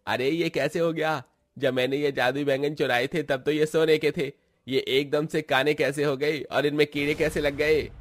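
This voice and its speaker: A man's voice: fairly high pitch, steady tone, normal speed, dry recording, voice pushed up, close to an everyday voice. Man playing a greedy, scheming village thief. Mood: bewildered. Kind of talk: cartoon story character voice.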